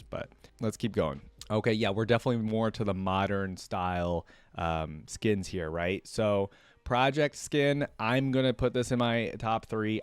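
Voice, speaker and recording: A man's voice: on the low side, clear, resonant tone, no room sound. A man hosting a reaction video, giving casual commentary in an informal way, thinking aloud and often indecisive.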